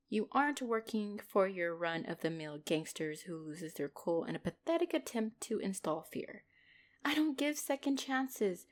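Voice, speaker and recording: A woman's voice: medium pitch, lightly acted. Woman acting a menacing, swooping-voiced female mafia boss. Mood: stern, commanding. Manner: condescending, controlled, mock-sweet with threats. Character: commanding female mafia boss, menacing, possessive, icily playful. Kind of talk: dramatic roleplay audio acting.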